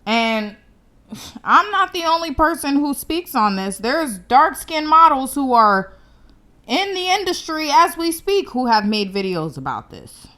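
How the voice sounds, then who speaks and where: A woman's voice: high-pitched, sing-song, a little slow, sharp tone. A young woman from the United States hosting her own show, giving blunt, confident commentary straight to camera. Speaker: show host.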